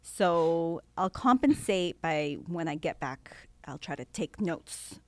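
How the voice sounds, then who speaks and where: A woman's voice: on the low side, expressive, slow pace, dry recording. A young woman from Venezuela who grew up in San Francisco, a law student chatting casually in an interview, laughing easily and using fillers. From Venezuela, raised in San Francisco.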